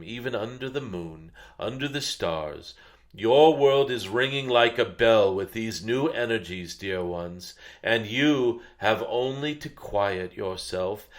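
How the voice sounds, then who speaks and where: An ancient, wise dragon spirit, channeled by a man, gives a spiritual message to humanity. The voice is fairly low and very slow. It is solemn and gentle, like a guided meditation, with murmured hums in the pauses.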